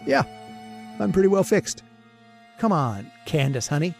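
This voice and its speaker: A man plays a haunted, excitable man in an old radio horror play. He mutters and stammers in a gravelly voice that swings widely in pitch, with slow, drawn-out pacing over music. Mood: anxious, muttering. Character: rattled man, centuries old, hunting his deadly sweetheart.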